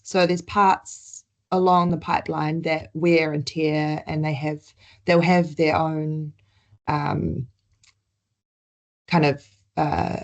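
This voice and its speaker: Low-pitched woman, New Zealand accent, relaxed webinar presenter who restarts often. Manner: conversational, with frequent ums and restarts.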